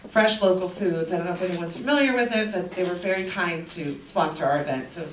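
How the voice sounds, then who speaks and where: A woman introduces the morning's speaker in a measured, formal way, with a few fillers. She sounds far from the mic, the room is echoey, and steady background noise is clearly heard. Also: fairly low pitch. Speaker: parent committee representative introducing the speaker. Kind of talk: event introduction. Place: school event room.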